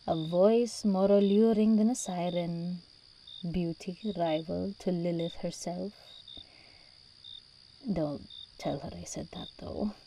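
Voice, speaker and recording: A woman's voice: medium pitch, mellow, a little hiss or hum. A woman playing an imperious, doting and possessive demon princess in an ASMR roleplay, speaking with regal, teasing endearments. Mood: wistful, admiring.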